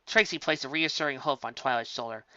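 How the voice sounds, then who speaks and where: Story narrator: bright, forceful mid-pitched voice, scripted read with small stumbles, dry and slightly dull recording. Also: fast, no echo.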